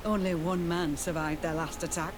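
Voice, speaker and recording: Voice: medium pitch, fairly even intonation, moderate pace, background noise, natural voice. An actor as a grim, formal outpost captain. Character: stern military outpost captain, grim, respectful. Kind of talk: scripted video game dialogue.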